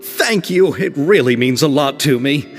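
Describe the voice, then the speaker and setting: An actor playing a timid, earnest young filmmaker in a video game, hesitant, stammering and effusively grateful. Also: male voice, on the high side, expressive, unhurried, big-room sound. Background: game music.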